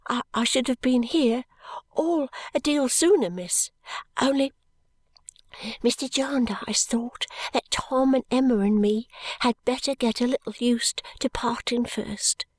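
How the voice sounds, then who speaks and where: A woman voicing a timid little girl maid who speaks softly, politely and breathlessly, close to tears. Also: a little high, no echo, voice pushed up. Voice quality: soft, whispery.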